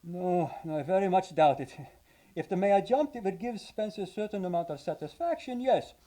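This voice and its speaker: A man's voice: high-pitched, expressive, normal speed, mellow. An actor playing an earnest, long-winded asylum psychiatrist in 1940s radio detective style, hesitant and learned in his phrasing. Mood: earnest, explanatory. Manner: hesitant, professorial, wordy. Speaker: actor in live radio play.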